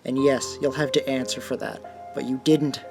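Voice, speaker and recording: A man's voice: mid-range voice, fairly even intonation, moderate pace, vocal fry, darkish tone, soft background music, close to an everyday voice. A man playing an earnest, uneasy teenage boy, a conscientious friend, in a radio drama. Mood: grave, stern.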